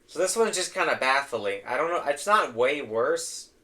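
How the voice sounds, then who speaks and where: Hoarse young man ranking toys. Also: a little high, big pitch swings, normal speed, sharp tone. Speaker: host. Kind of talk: casual tier-list commentary.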